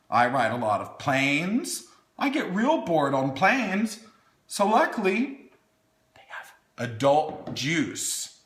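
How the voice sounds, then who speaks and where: Animated, bright-voiced man, theatrical storytime host. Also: mid-range voice. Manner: theatrical, talks as if to small children. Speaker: online host reading a children's book. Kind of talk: playful storytime reading with chatty asides. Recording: echoey room.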